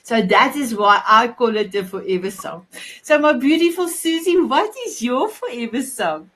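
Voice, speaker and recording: A woman with a South African Afrikaans accent hosting a talk show in a gushing, affectionate, halting way. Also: a little high, animated, a bit bright. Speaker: show host.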